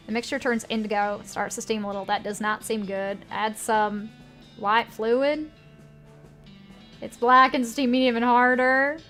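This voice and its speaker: A woman's voice: fairly high pitch, a bit bright. A woman with a put-on Southern US accent, casually commenting on a game and reading its text aloud. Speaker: game streamer.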